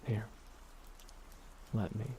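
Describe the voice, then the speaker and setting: A man acting a weary, regretful supervillain in an ASMR audio roleplay, speaking slowly and reflectively with pauses. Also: heavy background noise. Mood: somber, wry. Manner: slow, reflective, pausing delivery. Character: weary, regretful male supervillain beside his dying nemesis.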